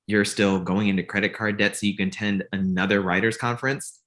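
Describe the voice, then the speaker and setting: A man acts a coolly antagonistic friend in a comedy table read. The voice is low, and every line comes out blunt and condescending, in a naturalistic style. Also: steady tone, a little fast, fairly bright tone, lightly acted. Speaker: actor in a screenplay table read.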